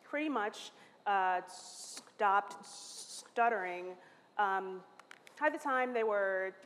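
A woman's voice: medium pitch, very slow, fairly bright tone, slight background noise. A woman in her thirties who stutters, giving a candid, self-mocking talk from a lectern on stage. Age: 30s. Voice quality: stutters, with repeated sounds and restarts. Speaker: conference speaker. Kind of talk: lightning talk. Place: large hall, standing at a lectern on stage. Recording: long reverb from a large hall.

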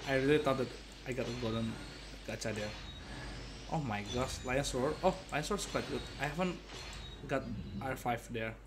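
A young adult man giving casual, playful gaming commentary to his viewers like an excitable showman. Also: mid-range voice, moderate pace, long pauses, noisy background. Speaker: game streamer. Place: indoor gaming setup at home. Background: game music.

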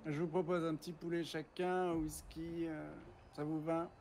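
A man's voice: a little high, flat delivery, normal speed, over a noisy crowd, natural voice. A man voicing a polite, businesslike woman saloon keeper in an online roleplay game. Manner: polite, formal serving talk.

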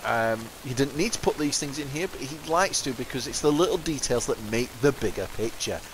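A man's voice: medium pitch, lively intonation, fairly quick, slight background noise, low-quality recording. Chatty South Yorkshire man, rambling. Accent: South Yorkshire English. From England. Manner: rambling, chatty, self-correcting, laughs often. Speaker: gaming channel host. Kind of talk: casual let's-play commentary. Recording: game music faintly behind.

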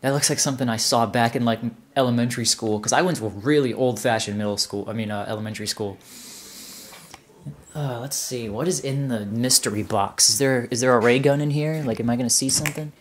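A man's voice: medium pitch, a little fast, a little hiss or hum. A man in his twenties doing casual vlog narration as he explores indoors, musing and wondering aloud. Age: 20s. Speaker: urban explorer vlogger. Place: indoors, rooms of an abandoned house. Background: music.

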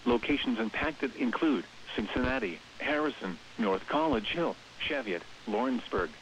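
A man's voice: mid-range voice, animated, moderate pace, fairly bright tone. Hissy synthetic male weather radio announcer. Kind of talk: scripted weather bulletin and warning readout. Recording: hissy, dull radio broadcast with noise. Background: radio hiss.

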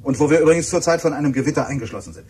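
A man's voice: on the high side, racing, a little mellow. A man playing a courteous, eager radio reporter on location in a radio drama, speaking slightly formally and chattily. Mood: courteous, presenting. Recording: clear background noise, location ambience.

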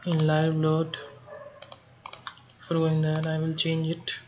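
Guy doing a software walkthrough, really monotone, choppy short phrases, muffled lo-fi recording with clicking. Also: a little high, takes it slowly. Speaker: software tutorial instructor. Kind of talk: step-by-step screen tutorial narration. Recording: dull lo-fi mic, keyboard clicks, noise.

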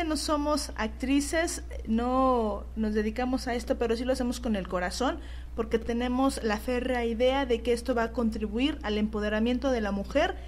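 A woman's voice: fairly high pitch, darkish tone, slight background noise. A Mexican woman speaking as an interview guest, in an earnest, emphatic, rhetorical way like a political activist. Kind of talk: earnest speech-like interview talk.

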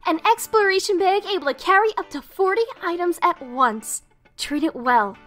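An encouraging, cheerful little fox creature from a cartoon , voiced by a woman, high and lively, coaxing in a breezy, reassuring way. Music plays clearly behind the voice. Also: moderate pace. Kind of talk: animated comic dub acting.

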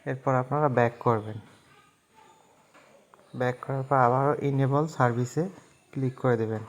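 A man's voice: medium pitch, fairly even intonation, moderate pace. A man narrating a phone app tutorial step by step, in a repetitive, instructional way. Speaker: tech tutorial host.

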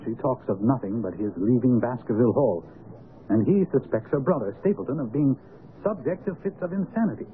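An actor playing an earnest, loyal gentleman in a radio mystery, recounting events in formal, expressive speech. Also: medium pitch, brisk, natural voice. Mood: concerned. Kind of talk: 1970s radio mystery acting, narrating. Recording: tinny, noisy old radio broadcast.

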